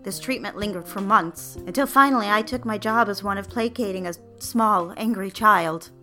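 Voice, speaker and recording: A woman's voice: medium pitch, normal speed, bright, forceful tone, close to an everyday voice. A woman playing a yearning secretary, confiding her diary in scripted first-person narration. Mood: wistful. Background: music.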